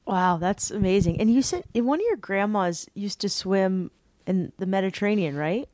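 A woman hosting an interview, chatty and lively as she tells stories and asks questions. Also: mid-range voice, moderate pace, dry recording. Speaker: podcast host. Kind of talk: interview host.

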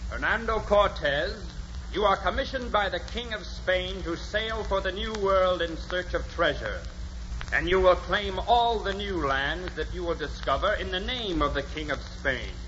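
An actor playing a formal royal official, solemnly proclaiming in 1940s radio style. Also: male voice, high voice, normal speed, pushed and bright. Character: formal Spanish royal official issuing a commission. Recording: muffled, noisy 1940s radio recording.

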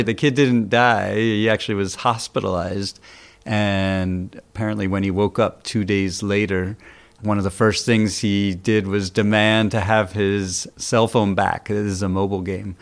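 A man's voice: fairly low pitch, vocal fry. A man commenting on the news as a guest editor, explaining things in an informal, conversational, loosely phrased way.

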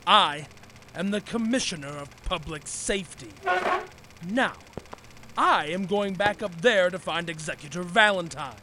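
An actor in an audio drama playing a pompous, belligerent public safety commissioner, bombastic and declamatory. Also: male voice, high voice, animated, unhurried, background noise. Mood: blustering, defiant. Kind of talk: audio drama acting, satirical comedy.

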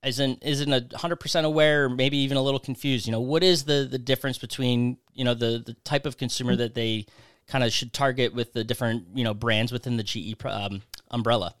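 Clear-voiced man hosting, closely recorded. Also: medium pitch, fairly even intonation, a little fast, fairly bright tone, no echo, studio quality. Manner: rambling, hedged questions. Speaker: podcast host, interviewer. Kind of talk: casual interview chat.

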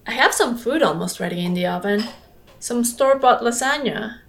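A woman playing a warm, chatty older housewife in a naturalistic audio drama. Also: on the high side, lively intonation, normal speed. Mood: chatty, mildly grumbling.

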